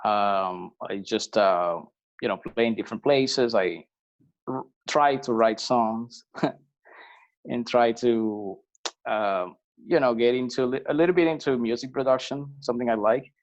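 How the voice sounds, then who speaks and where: A man's voice: medium pitch, a little slow. Venezuelan-born man, slightly dull lo-fi sound. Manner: relaxed, hesitant with many fillers and restarts. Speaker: podcast guest, musician. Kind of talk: casual conversational interview.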